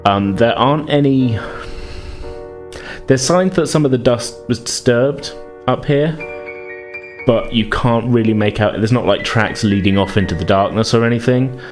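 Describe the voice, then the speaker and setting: A man's voice: mid-range voice, moderate pace, faint music behind. A man narrating a tabletop game as game master in a hesitant, self-correcting, conversational way.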